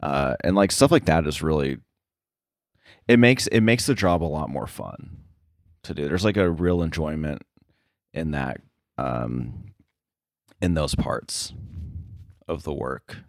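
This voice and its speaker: Low-pitched man, halting casual talk. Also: animated, gravelly, dry recording, studio quality. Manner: halting, informal, filler-heavy phrasing. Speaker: interview guest, sound editor. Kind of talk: casual chat.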